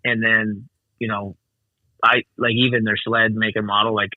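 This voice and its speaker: A man's voice: fairly low pitch, little pitch movement, moderate pace, no echo, phone-quality sound. A man who organizes races, chatting casually on a call with many restarts and fillers. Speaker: snowmobile race organizer. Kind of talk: casual phone conversation.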